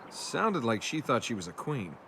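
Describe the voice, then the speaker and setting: A flippant young adventurer in a video game. His pitch is mid-range and very lively, his manner casual, quick to make a sardonic quip. Clear background noise sits behind the voice.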